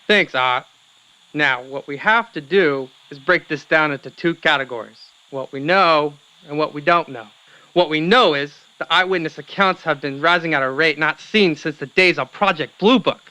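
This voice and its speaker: Male UFO historian for radio comedy-drama, acted: bright, clear tone, didactic, earnestly paranoid. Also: fairly high pitch, low-quality recording. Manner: measured, didactic. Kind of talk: radio pundit explanation.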